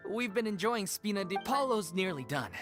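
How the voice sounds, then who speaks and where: An easygoing stage performer in a video game, fond of food and fond of declaiming. His delivery is jovial and very animated, over clear background music. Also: high-pitched, normal speed. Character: easygoing, food-loving male stage actor, theatrical. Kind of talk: video game character dialogue.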